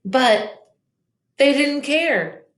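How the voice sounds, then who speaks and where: Slow-paced woman reading a children's story. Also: high voice, expressive. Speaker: teacher reading a picture book aloud. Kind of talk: expressive children's storybook narration. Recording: echoey room.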